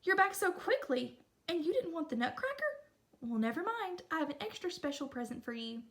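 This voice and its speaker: A sweet, generous girl from a children's story, read by a woman. Her voice is high, with a kind and giving manner. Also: big pitch swings, fairly quick, a bit bright, exaggerated voice.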